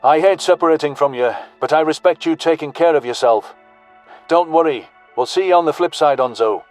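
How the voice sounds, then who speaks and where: Male narrator as gruff sci-fi soldier, commanding, slangy, loyal, fairly high voice. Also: fairly quick, darkish tone, soft background music, natural voice. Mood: loyal, reassuring. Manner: blunt military slang. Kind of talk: audio story character dialogue.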